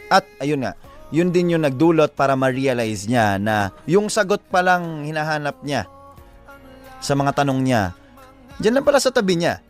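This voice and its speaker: A man with a Filipino accent, a co-host chatting and retelling a story casually, halting and repeating himself as he goes. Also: mid-range voice. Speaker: co-host of a story and advice show. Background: background music.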